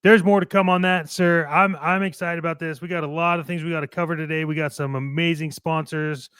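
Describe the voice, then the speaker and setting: A man's voice: a little high, steady tone, fairly quick, fairly bright tone, clear, resonant tone, no echo, clean studio sound. A man co-hosting a casual toy collecting show, speaking with enthusiastic, cocky, jokey hype. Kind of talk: casual radio show chat and playful ad reads.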